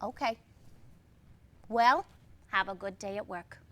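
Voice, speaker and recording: An actress playing a chatty, wistful, put-upon 1950s housewife in a staged play reading, with an animated, expressive delivery. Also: female voice, medium pitch, slow pace. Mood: subdued. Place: theatre stage.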